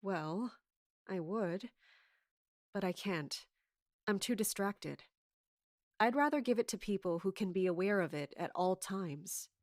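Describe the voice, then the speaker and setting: A woman playing a scheming, authoritative commander in an audio drama, speaking in a measured way. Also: medium pitch, a little mellow, no echo, clean studio sound, lightly acted. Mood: cool, commanding. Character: scheming, commanding woman in charge of palace guards. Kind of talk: audio drama acting with first-person narration.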